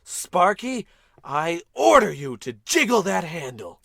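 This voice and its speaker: Man acting as space captain, high-pitched, unhurried, pompous, short-tempered, commanding, radio comedy. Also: very animated, gravelly, fairly bright tone, no echo, studio quality, exaggerated voice. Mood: bullying. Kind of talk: scripted old-time radio comedy acting.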